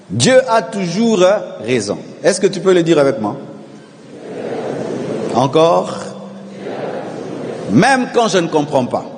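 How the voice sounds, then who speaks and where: A male pastor preaching a sermon, calling on the congregation to repeat after him and swelling into shouted refrains. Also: on the high side, in a large hall. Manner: preaching with call-and-response, rising to shouted repetitions.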